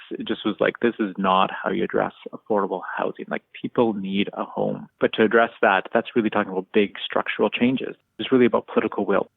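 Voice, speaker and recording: A man from Canada talks quickly and earnestly in a low, gravelly voice as an interview guest. The sound is thin and phone-like, and the room is dry. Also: no room sound. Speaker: lead organizer, interview guest. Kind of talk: conversational interview answers.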